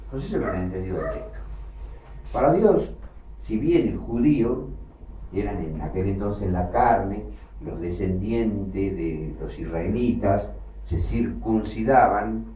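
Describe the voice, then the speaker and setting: A man preaching a sermon, emphatic, with loud bursts that drop low again. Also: animated, takes it slowly, slight background noise. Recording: echoey hall, from far off, faint ambience.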